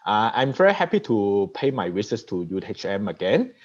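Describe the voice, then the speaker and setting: Hong Kong-accented male guest speaker, low voice, lo-fi call audio. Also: very animated, brisk. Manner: repetitive restarts, non-native grammar. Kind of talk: conference talk over video call. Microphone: video call. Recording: dull lo-fi video call audio.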